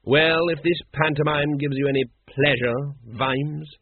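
A man voicing a calm, aloof male ruler in a story, speaking in a dry, measured, imperious way. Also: fairly high pitch, slightly rough, no echo, phone-quality sound. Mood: cool disdain.

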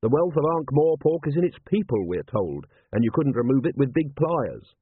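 A man voicing a gruff, cynical police commander in an audiobook, with a blunt, sardonic manner. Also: medium pitch, very animated, moderate pace, no echo, voice pushed up. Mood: worried, wry. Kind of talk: audiobook character voice. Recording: narrow-band, phone-like sound.

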